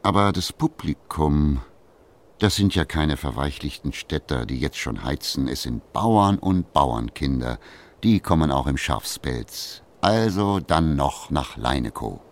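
Very deep male radio drama narrator. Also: animated, a little slow.

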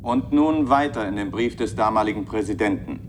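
A man's voice: on the low side, a little fast, over loud music, close to an everyday voice. An actor playing an authoritative chairman in a science-fiction radio play, formal and commanding. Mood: formal, composed. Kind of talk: German radio play acting. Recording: clearly noisy radio play recording.

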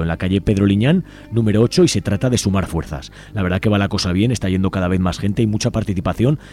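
A man with a low, mellow voice speaks Spanish with an accent from Spain. He presents community radio announcements informally, inviting listeners in directly. His delivery moves along very quickly and stays conversational.

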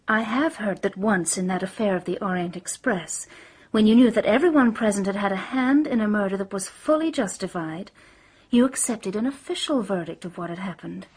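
A woman's voice: medium pitch, normal speed, darkish tone, husky, lightly acted, low-quality recording. An actress playing a composed, defiant married woman under suspicion in a radio drama, formal and earnest. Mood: pleading.

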